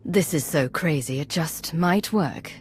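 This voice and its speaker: An actress playing a measured, doubtful female space crew member in a video game. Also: low voice, lively intonation, slight background noise. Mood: wary.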